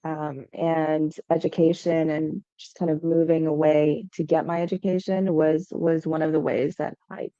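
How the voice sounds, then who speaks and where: A young adult woman from Hawaii speaks as a guest founder in a podcast interview. Her voice sits low and mellow, and she talks conversationally, often adding "um" and "right?". The recording is dry and a little dull. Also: flat delivery, moderate pace, few pauses, no echo. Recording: dull lo-fi sound.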